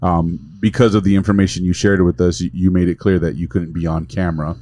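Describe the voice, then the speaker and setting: Low-voiced laid-back podcast host man. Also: level tone, fast talker, dark tone, no room sound. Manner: laid-back, says "man" often, laughs easily. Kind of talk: casual podcast interview.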